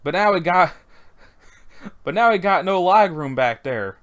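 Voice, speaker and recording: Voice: mid-range voice, very animated, normal speed, pitched above the performer's own voice, close to an everyday voice. A reader voicing a folksy, grinning pig-farm rogue with jokey rural speech. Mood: gleeful.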